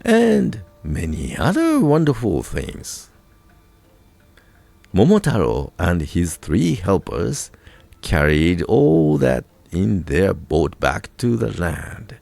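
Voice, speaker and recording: A man with a Japanese accent narrating a folk tale from a script, in a deliberate, expressive storybook manner with dramatic emphasis. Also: low voice, creaky, dry recording. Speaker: storyteller, radio voice crew narrator.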